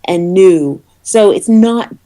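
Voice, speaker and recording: Mellow-voiced woman explaining, often rephrasing. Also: medium pitch, lively intonation, slow pace. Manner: hesitant, often rephrasing mid-sentence. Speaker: interview guest, awards committee head. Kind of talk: casual explanatory interview chat. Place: remote call into a podcast.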